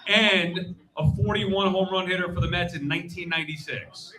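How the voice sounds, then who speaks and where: A man hosting lively live trivia on stage, blunt and joking, reacting quickly with exclamations. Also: high voice, poor audio. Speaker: game show host at a fan convention panel. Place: live stage before an audience at a convention.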